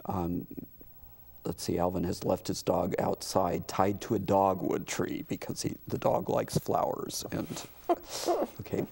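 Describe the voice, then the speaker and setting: Talk show guest: American man, deep husky voice, casual delivery with hesitant pauses. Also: darkish tone. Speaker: community theater representative, talk show guest.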